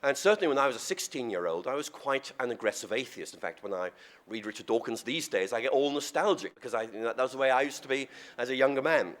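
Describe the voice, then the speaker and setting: Husky-voiced man lecturing. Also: mid-range voice, animated, fast. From Northern Ireland. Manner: conversational, wry, explanatory. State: husky voice from a cold. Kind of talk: public lecture.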